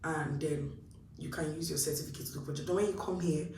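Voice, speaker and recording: A young Nigerian woman giving chatty, animated advice to camera, acting out her own past thoughts. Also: sits low, quick pace, a little hiss or hum. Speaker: vlogger. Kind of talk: casual advice talk to camera. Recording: roomy echo, mic a bit distant.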